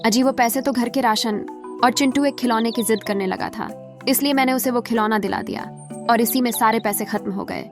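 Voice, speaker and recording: A woman's voice: on the high side, a little fast, over music, lightly acted. A woman voicing a poor villager's plain-spoken wife and young mother in a cartoon, explaining household matters. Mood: concerned. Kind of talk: Hindi cartoon voice acting.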